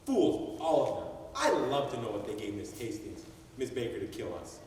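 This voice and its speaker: A man's voice: fairly low pitch, expressive, normal speed, mellow, a little hiss or hum, lightly acted. An actor playing the ghost of a wronged young man who rambles and loses his place as he narrates a stage play. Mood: brooding. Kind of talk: amateur stage acting. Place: stage in a large hall. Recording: echoey hall from far off.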